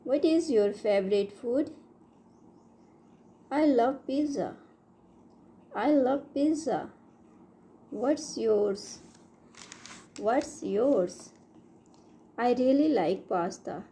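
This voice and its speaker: A woman reading short everyday English phrases for learners, saying each line twice, slowly and clearly. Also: on the high side, expressive, mellow. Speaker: English teacher. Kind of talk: slow repeated phrase drills for learners.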